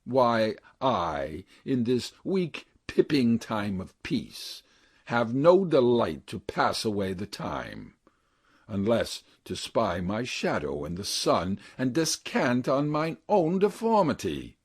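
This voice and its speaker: A man playing a bitter, deformed, plotting villain in a deliberate, declamatory Shakespearean monologue. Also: medium pitch, wildly swooping pitch, breathy edge, no echo, clean studio sound, heavily acted.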